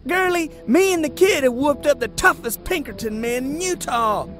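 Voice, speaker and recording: Brash cowboy outlaw, very high, boastful, swooping, cartoon dub over loud music. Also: animated, moderate pace. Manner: boastful, exclamatory cowboy talk. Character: brash, loudmouthed male Wild West outlaw leader. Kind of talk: cartoon comic dub acting.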